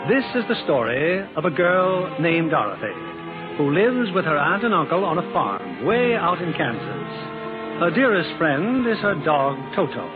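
Male radio host, slightly high and very expressive, formal old-broadcast narration, muffled with faint music. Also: normal speed. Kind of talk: formal scripted vintage radio presenting.

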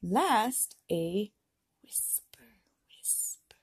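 A woman's voice: medium pitch, big pitch swings, extremely slow, breathy edge. An older woman reading a picture book aloud as a grandmother to a young child, playfully drawing out sound words and coaxing the child to repeat. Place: home indoors, beside a patchwork quilt. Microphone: handheld phone or camera close by.

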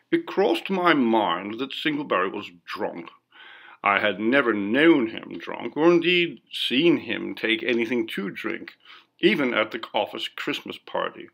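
Creaky-voiced man reading a ghost story. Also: mid-range voice, a bit bright. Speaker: audiobook reader. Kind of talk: scripted narration of a ghost story.

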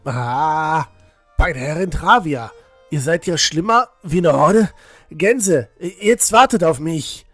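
A man's voice: a little high, lively intonation, slow, a bit bright, no echo, clean studio sound, faint music behind, full character voice. A man playing an exasperated villager, scolding and exclaiming in a fantasy audio drama. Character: exasperated, pious villager trailing after hurried companions.

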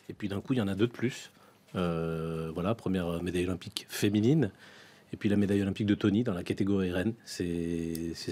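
A French male sports journalist talks in a fairly low voice at a rapid clip. He comments casually, as a panelist in a radio studio. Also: darkish tone. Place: radio studio round a table. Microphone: desk studio microphone.

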